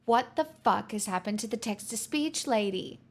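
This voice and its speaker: Gravelly-voiced woman streamer chatting. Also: mid-range voice, lively intonation, moderate pace. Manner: candid, rambling, frequent restarts and swearing. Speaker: live streamer. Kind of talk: casual chat, answering viewer messages.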